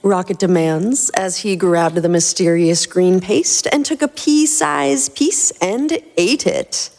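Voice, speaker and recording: A woman's voice: fairly low pitch, slow pace, vocal fry, darkish tone. A woman reading scripted story narration expressively as a podcast host.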